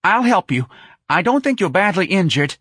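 A man's voice: a little high, sing-song, normal speed, a bit bright, slightly rough, no echo, studio quality, voice pushed up, full character voice. A man voicing a nervous, eager office manager as a thin, anxious tenor in an audiobook. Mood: alarmed. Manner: anxious, placating.

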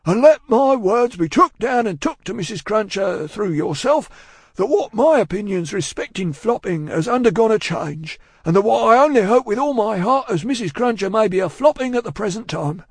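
A man's voice: sits high, lively intonation, moderate pace, slightly rough, no echo, clean studio sound, pitched above the performer's own voice, exaggerated voice. A man voicing a solemn, repentant working-class London man in a storybook, with a Cockney accent and a ponderous, preachy, emphatic way of talking. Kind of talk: audiobook character acting.

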